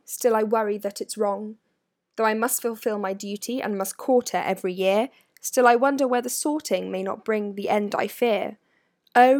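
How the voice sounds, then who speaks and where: A woman's voice: mid-range voice, no room sound, studio quality, lightly acted. A woman voicing a solemn old enchanted hat in a storybook, reciting rhyming verse in a declamatory, rhythmic way.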